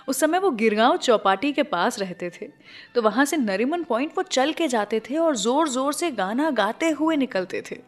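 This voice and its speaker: High-voiced woman radio host. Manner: conversational, addressing listeners directly. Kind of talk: scripted radio show presenting with film music trivia.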